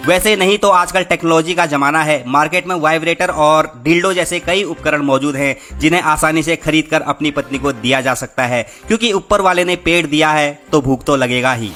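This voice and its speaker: A man's voice: a little high, normal speed, few pauses, sharp tone. A man narrating a scripted sensational story as host, dramatically addressing his listeners. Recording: music behind the voice. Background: background music.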